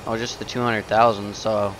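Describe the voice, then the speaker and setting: A man's voice: fairly low pitch, little pitch movement, moderate pace. A young man chatting casually while he plays a game, rambling and talking to himself, a bit sniffly from a runny nose. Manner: rambling, self-talking, easily surprised. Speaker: gaming channel host. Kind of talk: casual let's-play commentary. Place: home gaming setup, indoors. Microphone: headset. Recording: noisy home recording.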